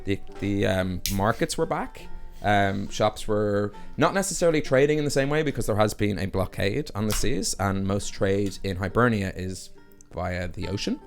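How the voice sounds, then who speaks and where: A man's voice: on the low side, normal speed, creaky. A young Irish man, laid-back and laughing often, narrating as the game master of a tabletop game, with faint music behind. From Ireland.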